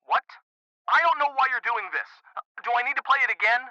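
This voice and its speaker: A man's voice: extremely high pitch, fast, bright, forceful tone, dry recording, exaggerated voice. A man playing a desperate caller who begs a radio host to believe his proof. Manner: pleading, frantic and hurt. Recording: thin phone line sound.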